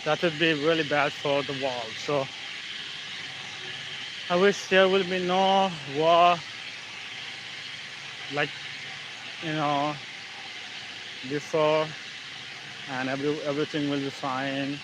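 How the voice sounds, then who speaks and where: A man with a Bangladeshi English accent talking casually on a live stream. He speaks haltingly, with many fillers and restarts, while walking outdoors in the evening. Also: fairly high pitch, fairly even intonation, noisy background. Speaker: channel host, English learner.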